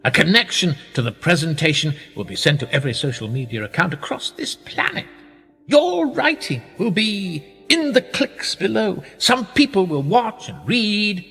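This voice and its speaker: An actor playing a powerful, manipulative titled gentleman in a radio play, measured and condescending, with quiet menace. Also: high-pitched, big pitch swings, gravelly, slightly rough, heavily acted. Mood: persuasive. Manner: measured, condescending, with rhetorical tag questions. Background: music.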